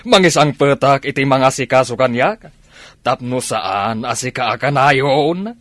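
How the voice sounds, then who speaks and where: A man acts out an evasive middle-aged married philanderer in a radio comedy drama. His pitch is average but swings widely, and the sound is close and dry. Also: expressive, measured pace, fairly bright tone, no room sound. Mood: irritated.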